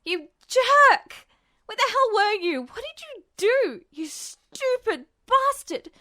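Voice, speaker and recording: Woman acting a furious shrieking boy, very high-pitched. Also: very animated, slow, heavily acted. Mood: furious, hurt. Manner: shouting, sputtering insults. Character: furious, wounded, hot-headed boy.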